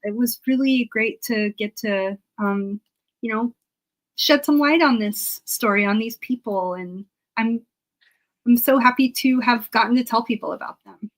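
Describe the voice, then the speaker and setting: A woman's voice: on the high side, a little slow, low-quality recording. A woman speaking as an interview guest and historian, casual and reflective, earnestly searching for her words.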